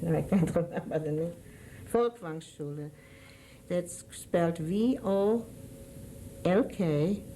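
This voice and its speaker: A woman in her sixties with a German accent speaks in a low, mellow voice. She is giving an oral history interview and often hesitates and hunts for the right word. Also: slight background noise, poor audio. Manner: hesitant, searching for words.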